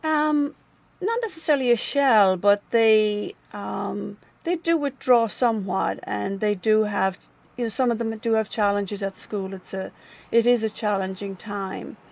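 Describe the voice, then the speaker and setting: A middle-aged woman with an Irish accent, answering interview questions about a grief center in a thoughtful, measured way, often pausing with um. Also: medium pitch, gravelly, a little mellow, dry recording. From Ireland. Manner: thoughtful, measured, with frequent um and restarts. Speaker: community manager at a grief center.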